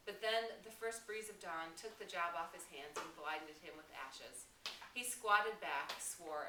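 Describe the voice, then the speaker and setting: A woman's voice: lowish voice, sharp tone. A woman teacher talking to her class and reading a novel aloud in a classroom. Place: indoor classroom. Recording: echoey room, distant mic, background noise.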